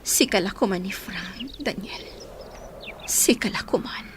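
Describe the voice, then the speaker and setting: A timid, heartbroken young woman played by an actress in a radio drama. Her voice is mid-pitched, hesitant and tearful, over a clearly noisy background. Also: measured pace, close to an everyday voice. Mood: sad, hurt.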